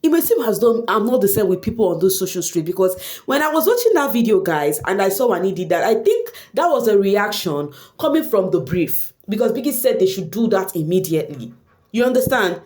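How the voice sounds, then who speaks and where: A woman's voice: mid-range voice, fairly quick. A woman with a Nigerian accent and Igbo as her first language, giving casual gossip commentary straight to her viewers and slipping in Pidgin slang. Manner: animated, addresses viewers directly, mixes in Pidgin slang. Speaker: online reality show commentator.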